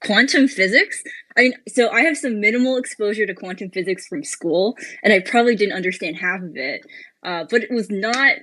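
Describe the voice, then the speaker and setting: A woman's voice: medium pitch, brisk, a bit bright, low-quality recording. Chatty woman hosting an interview. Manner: friendly questioning, laughs between questions. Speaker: interview host. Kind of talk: live audio interview.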